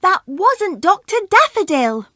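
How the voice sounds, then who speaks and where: A man reading a storybook and voicing a plucky young princess in a high, girlish voice. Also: very animated, measured pace, bright, forceful tone, no room sound, studio quality, heavily acted.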